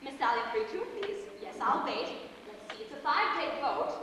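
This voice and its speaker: An actress playing a glamorous, vain and excitable stage star in a comedy play, with a theatrical, effusive, cooing manner. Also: female voice, sits high, moderate pace. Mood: brisk, grand. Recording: very echoey hall, distant microphone, faint noise.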